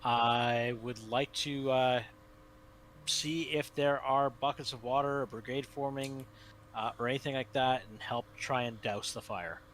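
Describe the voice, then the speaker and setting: A man's voice: mid-range voice, fairly even intonation, measured pace, a bit bright. A man chats casually as a player at a tabletop game. His voice sits in the middle range, with faint noise behind it.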